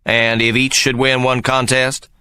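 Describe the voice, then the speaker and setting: A man voicing a gruff, demanding old sea captain. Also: mid-range voice, little pitch movement, measured pace, bright, forceful tone, dry recording, pitched above the performer's own voice.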